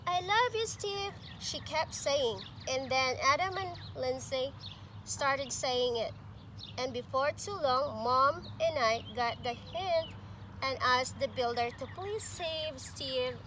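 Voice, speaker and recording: Woman, pre-K storytime reader: high voice, expressive, pauses for questions to little listeners, noisy amateur recording. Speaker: pre-K teacher reading aloud to children. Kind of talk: expressive picture-book read-aloud with asides.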